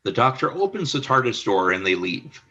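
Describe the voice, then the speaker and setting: Brief: male narrator for a radio play, measured delivery, bright but husky tone. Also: medium pitch, big pitch swings, dull audio. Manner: measured, announcer-like.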